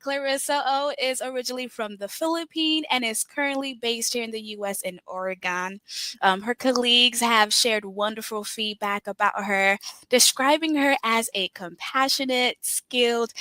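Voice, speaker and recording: A woman's voice: a little high, bright, forceful tone, no echo. A woman hosting a broadcast, upbeat, reading announcements from a script. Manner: upbeat presenter, reading names. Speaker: host.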